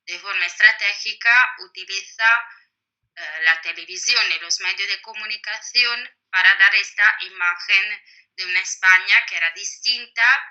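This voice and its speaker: A woman's voice: mid-range voice, bright, forceful tone, lo-fi sound. A woman speaking with an Italian accent, a native Italian speaker answering interview questions as an author, thoughtful and full of hesitations. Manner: thoughtful, many hesitations and fillers.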